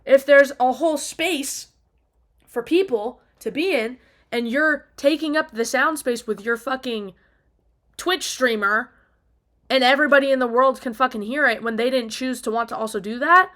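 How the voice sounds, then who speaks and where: Exasperated woman ranting, voice fairly high. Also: lively intonation, a little slow, a bit bright. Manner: exasperated, emphatic, casually sweary. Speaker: video diarist. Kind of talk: casual opinionated rant, spoken off the cuff.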